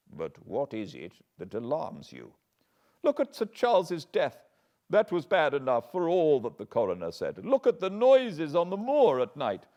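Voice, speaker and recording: A man's voice: on the high side, darkish tone, highs cut off, pitched above the performer's own voice. An English man voicing an anxious, earnest older butler who pleads and frets. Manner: agitated, pleading.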